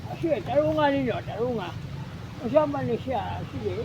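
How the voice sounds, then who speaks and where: High-voiced Filipino woman, muffled outdoor recording. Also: expressive, moderate pace, noisy background. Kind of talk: casual chat during a game.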